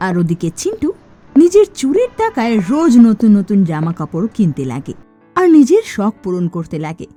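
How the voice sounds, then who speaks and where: A woman's voice: on the high side, mellow. A woman giving scripted narration for a cartoon story.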